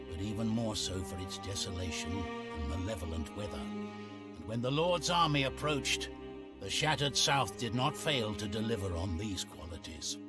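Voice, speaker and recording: Epic storyteller: low-pitched, solemn, expressive, prominent musical bed, war-torn fantasy tone. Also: male voice. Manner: solemn, dramatic. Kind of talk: scripted epic narration for a fantasy game. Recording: loud music behind narration.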